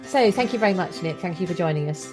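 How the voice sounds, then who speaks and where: Woman hosting, slightly low voice. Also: expressive, fast, music in the background. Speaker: podcast host, interviewer. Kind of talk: conversational podcast interviewing.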